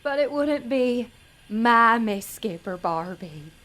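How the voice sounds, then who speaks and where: A woman's voice: fairly high pitch, expressive, slow, fairly bright tone. A woman playing a naive, earnest young pageant contestant in an audio drama, prim and melodramatic in manner. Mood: solemn, earnest.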